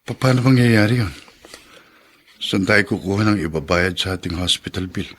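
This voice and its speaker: An actor playing a pessimistic hospital patient who frets over money, in a radio drama. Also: male voice, deep voice, moderate pace, a bit bright. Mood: worried, bitter.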